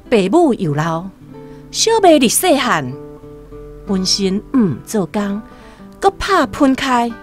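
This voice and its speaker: A woman narrates a radio drama with a Taiwanese accent. Her delivery is unhurried and dramatic, with sweeping intonation over faint music. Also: mid-range voice. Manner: expressive, dramatic storytelling. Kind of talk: literary radio drama storytelling.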